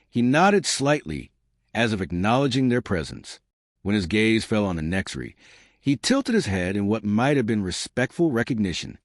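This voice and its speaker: Male narrator for sci-fi audio story: measured, dramatic delivery, animated intonation, dry close sound. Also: lowish voice, no room sound.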